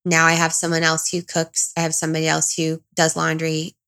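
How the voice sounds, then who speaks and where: Low-pitched, gravelly woman, reflective. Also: monotone, a little fast, sharp tone. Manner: reflective, confiding, self-questioning. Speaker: interview guest, single parent. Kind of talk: candid personal conversation.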